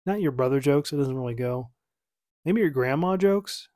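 A man's voice: medium pitch, animated, normal speed, warm tone, dry recording, clean studio sound. A man in his thirties casually reacting to his own old video from a small home office, rambling and correcting himself as he goes. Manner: rambling, self-correcting, easygoing. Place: small home office at night, lamp-lit.

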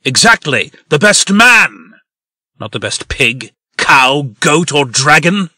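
A harsh, husky-edged talking farm pig, acted by a man for a comic dub. He is pompous and indignant, with a forceful, bright bite and a very dry, close sound. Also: fairly high pitch, big pitch swings, slow pace, dry recording, full character voice. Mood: bitter, indignant. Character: belligerent, self-important talking pig who runs a farm. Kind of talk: comic dub character acting.

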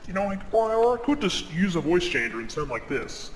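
A man's voice: high-pitched, very animated, fairly quick. A man chatting in an online game through a voice changer. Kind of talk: casual gaming chat through a voice changer. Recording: echoing, slightly noisy game chat.

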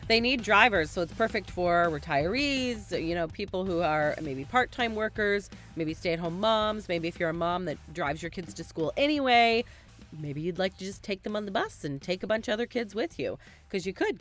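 A woman's voice: medium pitch, expressive, moderate pace, a bit bright, soft background music. A woman of forty co-hosting a casual podcast over a video call, talking animatedly with frequent laughs. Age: 40s. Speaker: podcast co-host. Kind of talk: casual chat. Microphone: studio mic on arm, headphones, video call.

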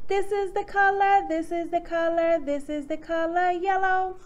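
A woman voicing a cheerful, energetic little girl in a children's storybook, excited and sing-song. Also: extremely high pitch, very slow, soft background music, voice pushed up, exaggerated voice. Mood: happy, singing. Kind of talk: children's storybook read-aloud.

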